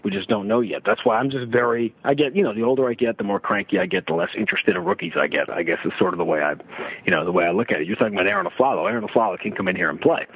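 A man's voice: fairly low pitch, big pitch swings, very fast, gravelly, slightly rough. A middle-aged man giving emphatic, conversational basketball analysis as a sports radio guest, often building his points with rhetorical questions. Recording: slightly muffled, limited-band radio-like audio.

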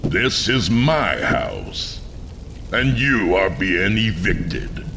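Horror audio drama: male actor as commanding undead sergeant, extremely low and gravelly, slow, composed menace. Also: big pitch swings, bright, forceful tone, a little hiss or hum, exaggerated voice. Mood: stern. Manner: unhurried, authoritative, menacingly composed. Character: calm, commanding male prison sergeant from the afterlife. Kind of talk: horror audio story acting.

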